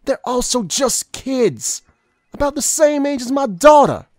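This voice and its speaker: A man's voice: very high voice, expressive, unhurried, no room sound. A man playing a principled, skeptical FBI agent, formal and earnest, in an audio drama. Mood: firmly objecting.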